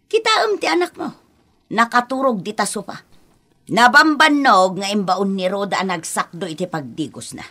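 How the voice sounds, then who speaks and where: A woman playing a stern, protective grandmother in a radio drama, forceful and scolding. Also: mid-range voice, animated, moderate pace. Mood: indignant. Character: stern, protective grandmother confronting her daughter-in-law. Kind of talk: Ilocano radio drama acting.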